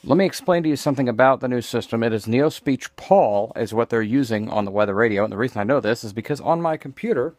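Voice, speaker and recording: A man with a mid-pitched voice gives a casual, unscripted explanation. He meanders and halts as he goes, offering his opinions while demonstrating. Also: animated, quick pace, hardly any pauses, a little mellow, dry recording. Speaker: vlogger demonstrating screen reader voices. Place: small room indoors. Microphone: handheld phone, later lying on desk.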